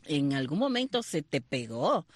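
A woman from eastern Cuba with an eastern Cuban Spanish accent, co-hosting a live morning radio show in an animated, laughing chat. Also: low voice, normal speed, slightly rough, dry recording. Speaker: radio co-host.

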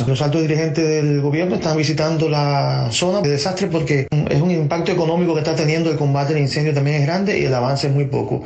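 A man speaks as an interviewee, explaining and pausing to find words. His voice is gravelly and fairly high. The recording has a roomy echo. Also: a little mellow. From Cuba. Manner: explanatory, with hesitations. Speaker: biologist and independent journalist interviewed.

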